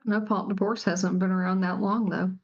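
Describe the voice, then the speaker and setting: A woman's voice: mid-range voice, level tone, moderate pace. A woman from the United States co-hosting a casual podcast discussion in a matter-of-fact way, on a slightly dull, lo-fi microphone. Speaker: podcast co-host.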